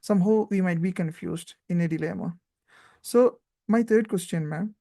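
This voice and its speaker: A man with an Indian accent hosts a relaxed chat, his voice mellow and dark. He talks in encouraging bursts, full of "you know" and "right?". The sound is close and dry. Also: high voice, moderate pace, creaky, no echo. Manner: frequent fillers and tag questions, encouraging. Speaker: host. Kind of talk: casual interview chat.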